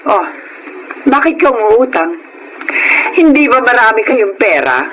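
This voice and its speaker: Mean sneering filipino tita for radio drama, high voice, noisy recording. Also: female voice, big pitch swings, measured pace. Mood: scornful gloating. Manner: sneering, taunting. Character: spiteful, grudge-holding aunt, scornful and unforgiving.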